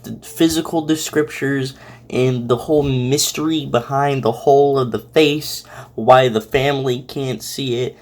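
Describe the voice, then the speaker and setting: A man with a mid-pitched voice talks off the cuff as a reaction host. He rambles and halts, circling back over his words in a casual, conversational style. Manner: halting, rambling, heavily repeating words. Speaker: host reacting to a fan fiction audio reading. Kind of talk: casual, unscripted reaction commentary.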